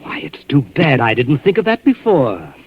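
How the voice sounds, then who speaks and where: An actor playing a quietly menacing, falsely solicitous captor with a rough voice, in 1940s radio drama style. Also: male voice, mid-range voice, huge pitch swings, moderate pace, slight background noise. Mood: mock concern. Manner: insinuating, mock-concerned. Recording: dull, lo-fi old radio recording.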